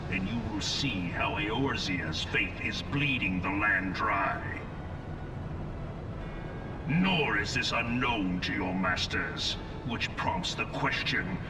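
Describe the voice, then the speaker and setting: An actor playing an imperious, contemptuous male warlord in a video game, declaiming pompously with theatrical certainty. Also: mid-range voice. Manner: declamatory, pompous, deliberate rhetorical questioning. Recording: echoing, distant, under loud music.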